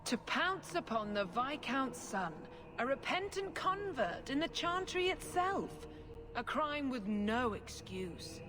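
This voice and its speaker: An actress playing a self-righteous church leader who accuses and preaches. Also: female voice, high voice, big pitch swings, takes it slowly, over music, full character voice. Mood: accusing.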